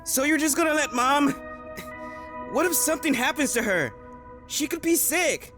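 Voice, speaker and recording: High-voiced pleading son, played by a young man. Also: expressive, normal speed, over music. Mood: pleading, agitated. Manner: pleading, questioning. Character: upset young son pleading for his mother. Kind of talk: dramatic film-style acting.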